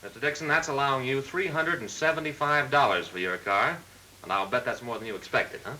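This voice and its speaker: Mid-century car salesman: polite, persuasive, methodical, piercingly bright delivery on a lightly hissy film soundtrack. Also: medium pitch, brisk, rough recording. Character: smooth, persistent car salesman closing a deal. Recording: old film soundtrack with faint hiss.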